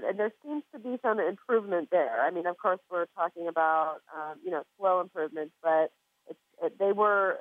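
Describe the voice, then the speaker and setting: A woman's voice: on the low side, no echo. An American woman hosts a sports podcast in a conversational interview style. Her voice comes through a narrow, muffled line with a dry sound and no room around it. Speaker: podcast host, sports reporter.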